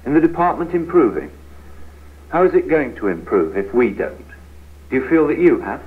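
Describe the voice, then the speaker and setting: Actor as a frustrated young British office worker, tinny. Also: male voice, mid-range voice, sing-song, moderate pace, lightly acted. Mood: earnest. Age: young adult. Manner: earnest, argumentative. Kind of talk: 1970s training film acting. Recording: tinny, noisy 1970s film soundtrack.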